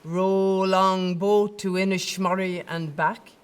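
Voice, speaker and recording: A woman's voice: medium pitch, fairly even intonation, slow pace, clear, resonant tone, lightly acted. A woman playing a grumbling, sly old Irish cowherd in an audio play, speaking in a colloquial, sardonic way with an Irish accent. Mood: scornful, appraising.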